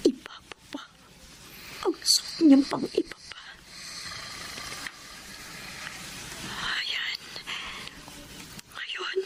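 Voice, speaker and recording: Long-suffering but resolute wife woman performer, high and slow, emotional melodrama delivery. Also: dark tone, a little hiss or hum, exaggerated voice. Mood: cold vengeful resolve. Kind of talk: Filipino radio melodrama acting.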